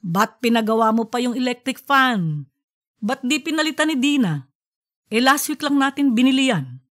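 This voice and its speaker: A woman's voice: on the high side, expressive, normal speed, a bit bright. Lady doing a laid-back teasing dad in an audiobook, chatty with the family. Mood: weary, exasperated. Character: easygoing teasing family father. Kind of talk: audiobook character dialogue.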